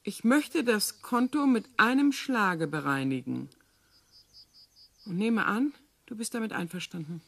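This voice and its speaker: Husky, swooping genteel wife. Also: female voice, mid-range voice, animated, a little slow, a bit bright, no echo, full character voice. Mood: cool, precise. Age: middle-aged or older. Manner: precise, formal. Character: genteel, coolly calculating wife and mother. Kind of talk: radio drama acting.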